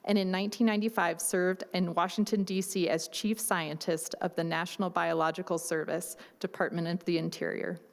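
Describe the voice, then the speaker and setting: Woman symposium moderator with a mid-range voice, formal and measured, in a reverberant space. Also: medium pitch. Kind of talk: reading a formal speaker introduction. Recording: long hall reverb.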